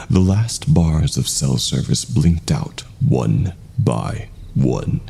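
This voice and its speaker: Very deep, gravelly male horror narrator. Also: dark tone, slight background noise. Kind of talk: scripted first-person horror narration.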